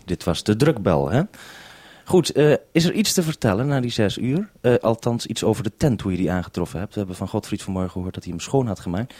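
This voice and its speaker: A Dutch man with a deep, relaxed voice presents on the radio in a wry manner. The sound is close and dry, and he puts his questions with dry irony. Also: fast talker, dry recording. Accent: Dutch, from the Netherlands. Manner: wry, dryly ironic. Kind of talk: radio report and two-way interview.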